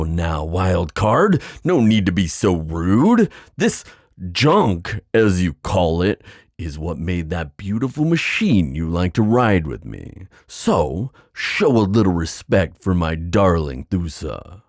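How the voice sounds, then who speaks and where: A man's voice: low voice, sing-song, no room sound, studio quality, full character voice. A man playing a flirtatious, confident giant sea god in an ASMR roleplay, teasing and theatrical in his delivery. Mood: playful, teasing. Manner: teasing, theatrical, drawn-out phrasing.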